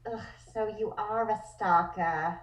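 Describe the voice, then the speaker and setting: A woman's voice: medium pitch, steady tone, slow pace, close to an everyday voice. A woman voicing a wry, flirtatious, no-nonsense dame in a table read, recorded from afar in an echoey room. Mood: wry, flirty. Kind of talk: dramatic table read of a comic script. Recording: distant, echoey room sound.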